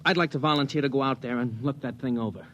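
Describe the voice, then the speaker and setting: An American actor playing an earnest, deferential junior spaceship officer reporting to a superior in a 1950s science fiction radio drama. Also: male voice, medium pitch, fast, dull audio, lightly acted. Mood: hopeful, deferential. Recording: vintage radio recording with faint noise.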